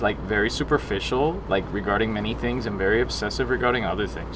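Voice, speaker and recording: A man's voice: fairly low pitch, background noise, close to an everyday voice. A man reading a passage aloud in a put-on Miami accent, drawling and full of "like" and "bro.". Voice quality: drawling, filler-heavy "like" and "bro" talk. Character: exaggerated Miami-accented speaker, gushing, slangy.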